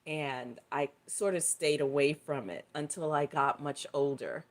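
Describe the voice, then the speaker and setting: A middle-aged woman with a dry, slightly hoarse voice, talking loosely on a live stream, rambling with fillers and correcting herself. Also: sits low, steady tone, a little slow. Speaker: live stream host and tarot reader. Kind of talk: casual spoken livestream talk.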